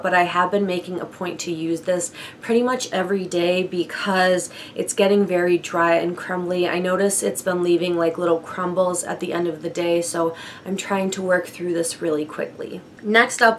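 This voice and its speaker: A young woman with a froggy, hoarse voice from a chest cold, chatting casually through a beauty product update with light laughs and fillers. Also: lowish voice, fairly bright tone, slight background noise. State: hoarse from bronchitis. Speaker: beauty vlogger.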